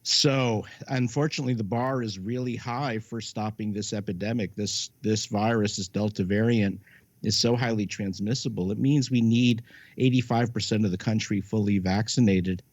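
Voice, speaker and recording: Older US male medical pundit, deepish, halting and emphatic, dull close lo-fi sound. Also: steady tone, a little mellow, no room sound. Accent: American. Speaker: medical expert guest, professor and dean. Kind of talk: live news interview answers.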